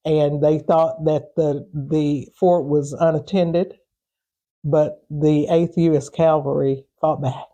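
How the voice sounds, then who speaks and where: A woman speaking off the cuff as an interview guest, pausing mid-phrase and repeating words as she goes. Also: sits low, little pitch movement, mellow. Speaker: interview guest, historical preservation group organizer. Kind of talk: unscripted interview talk.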